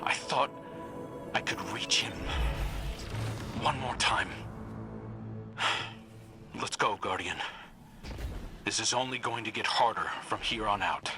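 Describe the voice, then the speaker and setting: A man's voice: fairly low pitch, takes it slowly, long pauses, music in the background. A man voicing a weary, regretful veteran commander in a science fiction video game. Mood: regretful, resolute. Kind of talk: video game character dialogue.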